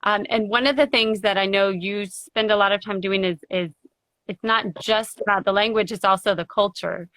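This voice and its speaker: A woman's voice: mid-range voice, fairly even intonation, moderate pace, a bit bright, no room sound. A woman hosts a live video interview, asking her guest questions in a supportive, conversational manner. She sometimes restarts her sentences. Her audio is dry and close, with little room sound. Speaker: interview host. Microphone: video call.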